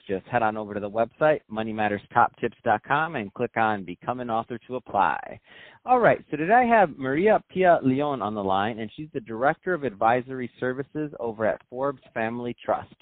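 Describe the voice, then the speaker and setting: A man's voice: mid-range voice, animated, brisk, no room sound, phone-quality sound. A man hosting a business interview podcast, reading an upbeat intro and then asking friendly questions. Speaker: podcast host.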